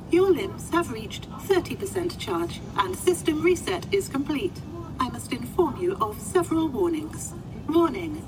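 A woman voicing a formal, literal-minded, unflappable medical computer in a science fiction audio drama. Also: sits high. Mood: procedural, insistent. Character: polite, literal-minded female medical ward computer assistant. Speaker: actor in a fiction podcast. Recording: clear background ambient noise.

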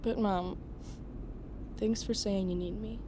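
An actress playing a sulky teenage daughter with a slangy drawl in an audio drama. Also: female voice, mid-range voice, steady tone, slow pace, close to an everyday voice. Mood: earnest, hurt. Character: sulky teenage daughter glued to her phone. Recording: noisy airplane cabin ambience.

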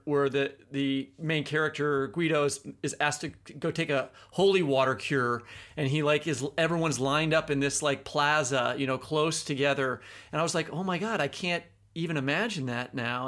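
A man's voice: fairly high pitch, fairly bright tone. A man co-hosting a podcast, talking in a casual, chatty, informal way as he tells anecdotes and asks questions. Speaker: podcast co-host. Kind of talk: casual conversational interviewing.

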